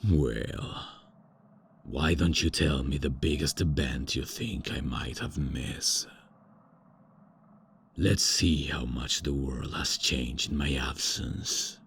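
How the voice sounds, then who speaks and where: A man's voice: a bass voice, steady tone, slow pace, vocal fry, fairly bright tone, slight background noise, full character voice. A man voicing a haughty, out-of-touch ancient male vampire lord in a comedy ASMR roleplay, with a pompous, theatrical manner. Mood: indignant.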